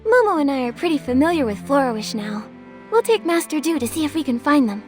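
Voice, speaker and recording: A woman playing a polite, gentle, helpful young heroine in a video game. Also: high-pitched, very animated, a little fast. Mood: friendly, helpful. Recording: music under the voice.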